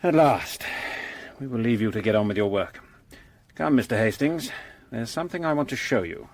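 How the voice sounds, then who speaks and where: An actor playing a brisk, self-assured police detective in a radio drama, speaking with curt authority and some condescension. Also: male voice, mid-range voice, expressive. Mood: commanding.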